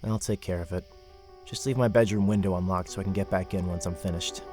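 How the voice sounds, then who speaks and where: A low-pitched young man played by a male actor in a fantasy audio drama. He is a reluctant, protective brother who muses and grumbles hesitantly. Music plays behind him. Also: steady tone, fast talker, close to an everyday voice. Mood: resolved.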